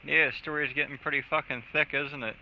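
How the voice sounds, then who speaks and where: A man's voice: on the high side, steady tone, fast talker, gravelly, slight background noise. A man streaming a video game, chatting casually in a blunt, joking and sweary way. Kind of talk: casual gaming chat. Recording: dull lo-fi stream audio, faint music.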